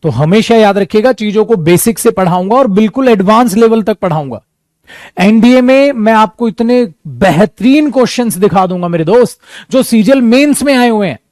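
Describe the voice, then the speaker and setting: A man teaching an English coaching class for competitive exams, talking to students as "beta" and "mere dost" and acting out their doubts in a lively way. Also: high-pitched, normal speed, dry recording.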